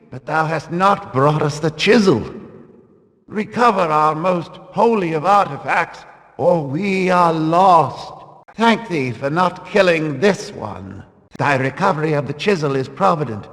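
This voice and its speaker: An actor playing a solemn, devout warrior-priest in a fantasy game, speaking in formal, archaic phrases. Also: male voice, on the high side. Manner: archaic, formal, ceremonious speech. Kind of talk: scripted fantasy video game voice acting. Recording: long reverberant hall echo.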